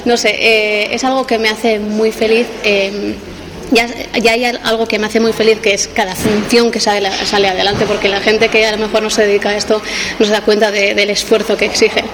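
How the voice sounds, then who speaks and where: Woman speaking haltingly, noisy event recording. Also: mid-range voice, level tone. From Basque Country, Spain. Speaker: award-winning theatre artist. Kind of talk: spontaneous casual remarks to press.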